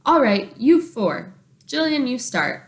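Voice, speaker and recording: A woman reads as a brisk male gym teacher from a children's storybook. The delivery is projected and animated, swinging up in pitch as he announces things to the class. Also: exaggerated voice. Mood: brisk announcing.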